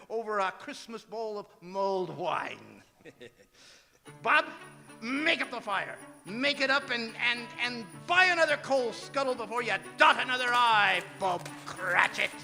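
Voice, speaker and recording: An excitable old english-miser type turned joyful, creaky high voice, radio play in an echoey hall. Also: male voice, measured pace, heavily acted. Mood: merry. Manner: chuckling, stammering, excitable old gentleman. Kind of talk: theatrical radio drama acting. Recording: reverberant stage hall, faint music behind.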